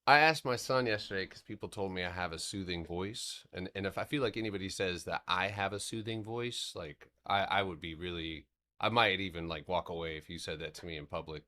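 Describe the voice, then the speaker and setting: A man hosting a casual livestream market recap. He talks in a loose, rambling way, swears casually, and slows into a steady explanatory tone when walking through charts. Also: sits low. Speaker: stock trading streamer.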